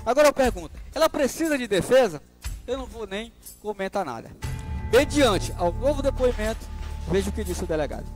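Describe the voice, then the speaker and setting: A man in his thirties with a northern Brazilian accent anchors a local news show, throwing pointed questions at his viewers. His voice sits high and swings widely in pitch. Soft music plays faintly beneath. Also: fairly quick. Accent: Brazilian Portuguese, northern Pará. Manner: animated, rhetorical questions to viewers. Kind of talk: opinionated news commentary. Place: studio with virtual set.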